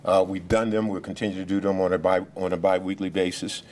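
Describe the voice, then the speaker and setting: A police official giving remarks at a press briefing, speaking loudly and haltingly, with many fillers and restarts. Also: male voice, low-pitched, flat delivery. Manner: speaks loudly without a mic.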